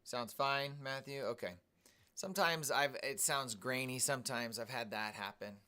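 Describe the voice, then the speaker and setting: A man hosting a live day trading stream, calmly commenting on his trades and greeting viewers in the chat. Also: mid-range voice, normal speed. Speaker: day trading livestream host.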